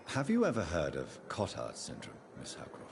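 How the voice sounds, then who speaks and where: An actor playing a polite, probing hospital doctor, asking courteous, clinical questions in a dramatic dialogue. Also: male voice, a bass voice, wildly swooping pitch, normal speed, gravelly, a little hiss or hum, full character voice. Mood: calm, clinical. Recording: loud music under the voice.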